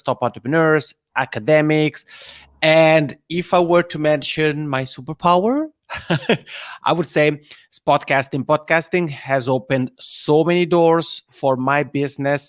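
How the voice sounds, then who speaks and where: Man, Swiss Italian accent, podcast consultant on a live panel, friendly, slightly high voice, dry close sound. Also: a bit bright, no room sound. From Italian-speaking Switzerland. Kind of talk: live stream panel chat.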